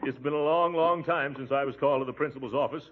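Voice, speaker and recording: An actor playing a blustering, pompous, big middle-aged uncle who stammers when flustered, in 1940s radio comedy style. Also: male voice, medium pitch, fast, a little hiss or hum, lightly acted. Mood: nervous, sheepish. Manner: blustering, stammering, swooping delivery. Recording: tinny 1940s radio recording.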